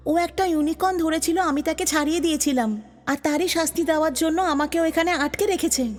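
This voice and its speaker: A woman's voice: high voice, steady tone, fast talker, lightly acted. A woman voicing a timid, innocent captive maiden in a cartoon fairy tale, hesitant and anxious. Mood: anxious, resigned. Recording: long hall reverb, music underneath.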